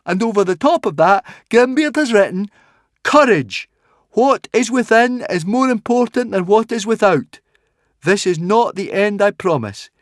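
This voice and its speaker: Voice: mid-range voice, lively intonation, measured pace, no room sound, studio quality, pitched above the performer's own voice, lightly acted. A narrator voicing an earnest, hot-headed Viking boy who argues insistently. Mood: solemn, urgent. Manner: insistent, argumentative.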